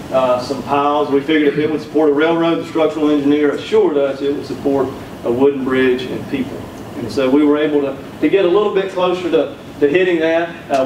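A man with a Southern drawl tells folksy stories at a conference keynote, his voice a little high for a man. He talks into a handheld mic in a meeting room, caught from far back so it sounds roomy and distant. A steady hum of room noise sits underneath. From South Carolina. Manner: folksy storytelling with humor. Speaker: keynote speaker. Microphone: handheld mic, recorded from far back.

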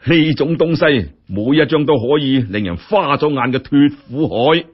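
Male storyteller, raspy voice, lively pitch, colloquial wry delivery, muffled recording. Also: moderate pace. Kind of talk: dramatic audiobook-style narration. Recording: dull, muffled lo-fi audio.

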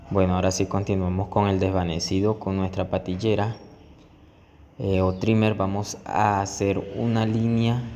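A man's voice: deep voice, a little mellow, muffled recording. A man giving step-by-step instructional narration as a barber teaching a tutorial. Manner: explanatory, step-by-step. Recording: echoey room with clear background noise.

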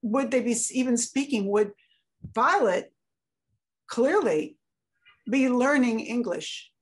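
A woman's voice: on the high side, slow, breathy edge. An older woman moderating an online panel from her home office, posing questions to the panelists. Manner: inquisitive, poses questions to panelists. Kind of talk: panel discussion on a video call. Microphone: webcam on a video call.

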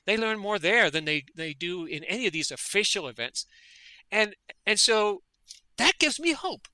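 A man's voice: high-pitched, big pitch swings, moderate pace, bright, forceful tone, no room sound, poor audio. A man from Denmark, a veterinary parasitologist, speaking as a guest in an interview. He talks earnestly and often repeats words as he gathers his thoughts. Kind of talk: conversational interview.

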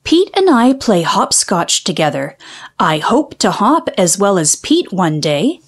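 A woman reading a children's phonics storybook aloud in a careful, deliberate way, stretching out key sounds. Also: mid-range voice, sing-song, slightly rough.

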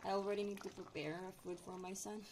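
A young Filipina woman with an Ilonggo accent, narrating her cooking in slow, hesitant English, often saying "guys". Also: medium pitch, fairly even intonation, a little mellow, a little hiss or hum. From Philippines. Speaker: cooking vlogger. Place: home kitchen by a gas stove.

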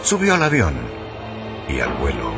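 A man's voice: rumbling low, huge pitch swings, measured pace, over loud music. A man giving dramatic scripted narration for a radio drama.

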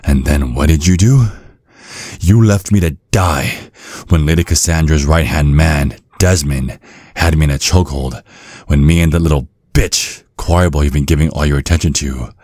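A man playing a seductive, vengeful vampire in an ASMR roleplay, taunting in a sultry, husky, breathy voice. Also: very deep voice, moderate pace, a little mellow, dry recording, studio quality. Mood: bitter, taunting. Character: seductive, vengeful male vampire, former protégé of a priest.

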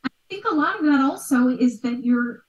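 A woman's voice: high voice, lively intonation, moderate pace, lo-fi sound. A woman, explaining things articulately and conversationally as a guest expert on a video call. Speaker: guest expert, art history podcaster. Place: small bright room at home. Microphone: video call, at some distance. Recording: roomy, distant microphone.

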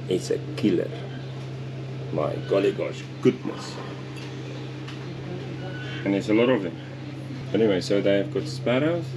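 A man with a South African accent giving casual, off-the-cuff vlog commentary from behind a handheld camera. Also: low voice. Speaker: vlogger. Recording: noisy outdoor action-camera audio.

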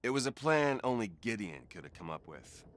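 An actor playing a male soldier in a video game, narrating a mission in a grave, measured, scripted way. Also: medium pitch, sing-song, slight background noise, close to an everyday voice. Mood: grave, resolute. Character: weary soldier narrating a desperate assault plan, male.

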